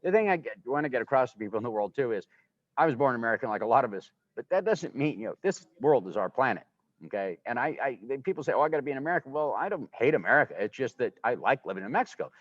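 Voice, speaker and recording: A man's voice: medium pitch, very animated, very fast, no echo. A man in his sixties, talking casually and enthusiastically as a guest, rambling from one point to the next. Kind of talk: casual conversation. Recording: dull, muffled livestream audio.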